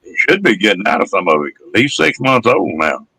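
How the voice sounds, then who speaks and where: A man with a deep, damaged, gravelly voice, chatting casually in a folksy, rural storytelling way. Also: very animated, brisk, bright, forceful tone. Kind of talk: casual chat.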